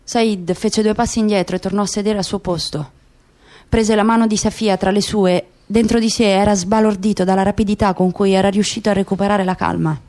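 A woman's voice: mid-range voice, steady tone, a little fast, darkish tone. A woman giving a dramatic, scripted literary narration as an actress in a radio play.

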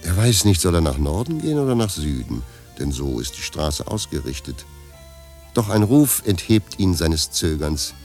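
A man giving scripted literary narration for a radio play in a measured, deadpan manner. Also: sits low, soft background music.

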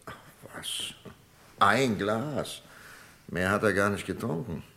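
An actor playing a jovial, insistent boss hosting guests in a crime radio play, coaxing and emphatic. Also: male voice, on the low side, animated, slow pace, vocal fry, sharp tone, full character voice. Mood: concerned, hushed. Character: jovial, insistent male host and boss, Herr Bergmann.

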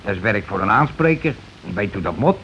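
Gruff scheming husband, muffled old radio. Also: male voice, on the low side, sing-song, a little fast, a bit bright, heavily acted. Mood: evasive blustering. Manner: colloquial, blustering, evasive. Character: gruff working-class husband, war veteran, scheming and self-excusing. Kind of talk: Dutch radio play acting. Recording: dull, noisy old radio recording.

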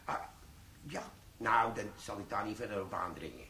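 A sly, boastful army sergeant in his sixties, played by a man, scheming to get into a vault. He stammers and chuckles at his own cleverness. The radio-play recording carries a steady hiss of noise. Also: fairly low pitch, normal speed, roomy sound. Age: 60s.

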